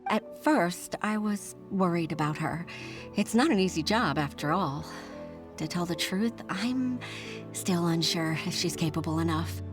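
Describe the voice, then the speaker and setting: A woman's voice: lowish voice, expressive, measured pace. An actress voicing a humble, middle-aged secretary and mother in a video game, modest and a little hesitant. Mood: heartfelt. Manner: modest, hesitant, with soft laughs. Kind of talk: game voice acting. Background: game soundtrack music.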